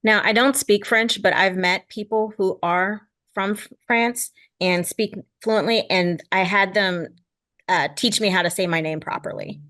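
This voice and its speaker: A woman's voice: medium pitch, measured pace, a bit bright, dry recording. A woman from Ohio in the United States, answering questions briefly and agreeably during a webinar reading. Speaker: webinar participant.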